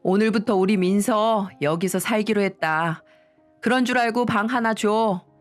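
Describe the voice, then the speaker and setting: A woman's voice: mid-range voice, measured pace, lightly acted. A woman plays a bossy older mother-in-law from an audio story. She speaks curtly and gives orders as a nag would, with music playing behind her. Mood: brusque, demanding. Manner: curt, commanding.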